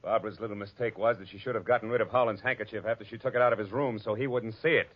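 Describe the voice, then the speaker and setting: Male actor as tough American detective, low voice, cynical, wisecracking, old radio drama, muffled vintage sound. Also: fairly even intonation, very fast, close to an everyday voice. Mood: hard, sardonic. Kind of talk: 1940s radio detective acting, hardboiled narration. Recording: dull vintage radio recording, music behind.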